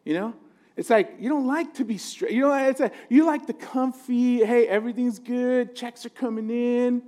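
A man's voice: very high-pitched, moderate pace, mellow. A man preaching an informal sermon as a pastor, talking conversationally and jokingly and often checking in with his listeners for agreement.

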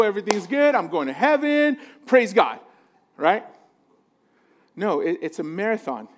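A man preaching an informal sermon as a pastor, talking conversationally and jokingly and often checking in with his listeners for agreement. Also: very high voice.

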